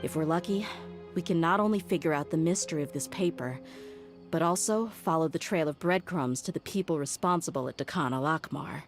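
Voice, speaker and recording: A woman's voice: sits low, brisk. An actress playing a loyal, frank young female bodyguard in a video game, speaking in a composed, earnest way. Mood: resolute, earnest. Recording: game music playing behind the voice.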